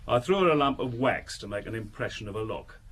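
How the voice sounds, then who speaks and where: Brazen crook over background noise. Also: male voice, mid-range voice, expressive, fast, fairly bright tone. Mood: boastful. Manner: blunt, boastful, offhand. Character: brazen, cocky male crook, a fence for thieves. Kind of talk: radio detective drama acting.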